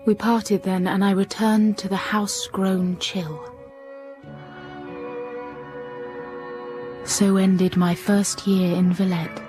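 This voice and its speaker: Hushed, intense young schoolteacher played by a woman. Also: medium pitch, flat delivery, measured pace, faint music behind, natural voice. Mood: brooding. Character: guarded, lonely, intense young schoolteacher heroine, quietly yearning. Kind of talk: radio drama acting and first-person narration.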